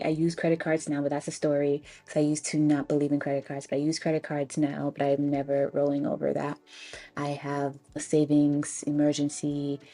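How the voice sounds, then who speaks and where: A young American woman telling personal stories in a casual, reflective, conversational way, pausing for emphasis. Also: sits low, mellow. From Ghana and the Bronx, US. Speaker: personal finance storyteller.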